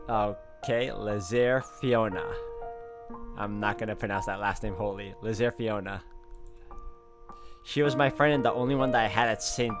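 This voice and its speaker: A man reading a visual novel aloud as a playthrough, dropping in wry casual asides. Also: on the low side. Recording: music behind the voice.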